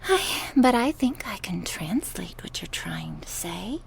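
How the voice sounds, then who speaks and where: A woman playing a sadistic, gleefully cruel goddess in an ASMR horror roleplay, taunting theatrically and slipping into breathy whispers. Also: mid-range voice, huge pitch swings, unhurried, exaggerated voice, low-quality recording. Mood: condescending.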